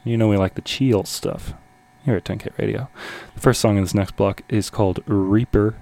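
Laid-back low, mellow male radio DJ. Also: steady tone, moderate pace, creaky. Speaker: radio host, music DJ. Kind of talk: casual music radio show patter.

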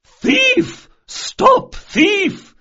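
A man voicing a loud, fierce old shopkeeper grandmother in an audiobook story, scolding and exclaiming, indignant yet protective. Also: very high-pitched, wildly swooping pitch, takes it slowly, slightly rough, lo-fi sound, pitched above the performer's own voice, heavily acted. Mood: shouting, indignant.

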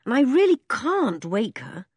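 A woman reading an audiobook voices a frightened, gentle young girl who talks in an anxious, pleading way. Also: fairly high pitch, huge pitch swings, a little slow, slightly rough, no echo, clean studio sound, pitched above the performer's own voice, exaggerated voice.

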